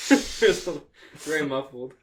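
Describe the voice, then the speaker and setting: A man's voice: fairly high pitch, animated, slow pace, a little hiss or hum. Jokey man reading aloud on a podcast. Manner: laughs often, jokey, foul-mouthed. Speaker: podcast co-host reading fan fiction aloud. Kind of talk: casual comedic read-aloud with banter.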